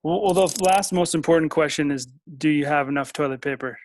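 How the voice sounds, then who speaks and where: A man's voice: fairly high pitch, fairly quick. An American man hosting a casual interview. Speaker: interview host.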